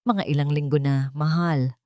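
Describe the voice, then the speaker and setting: A woman's voice: sits low, steady tone, normal speed, warm tone, no room sound, natural voice. A narrator woman puts on a scheming wife in an audio story. She is tearful and pleading, and the recording is dry with no room sound.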